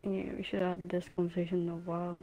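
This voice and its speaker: A woman on a voice call who speaks softly and quietly, with frequent laughs. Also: lowish voice, completely flat delivery, fast talker, narrow, tinny audio. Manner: quiet, soft-spoken, laughs often. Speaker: guest on call. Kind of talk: casual call chat.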